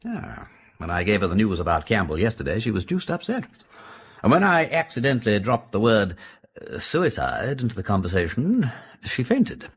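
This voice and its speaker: An upper-class English gentleman detective for radio drama. His voice is husky and very animated in pitch. He is urbane and inquisitive, thinking out loud with clipped, polished phrasing. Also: moderate pace, full character voice. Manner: urbane, musing aloud, clipped aristocratic phrasing. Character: suave aristocratic English gentleman sleuth, inquisitive and quick-witted.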